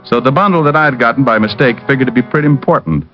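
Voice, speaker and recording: A man playing a quick-witted, wry private detective in an old-time radio drama, moving between dialogue and hardboiled narration. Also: mid-range voice, close to an everyday voice. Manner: wry, sardonic. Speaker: narrator and lead actor. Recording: dull vintage radio recording with music.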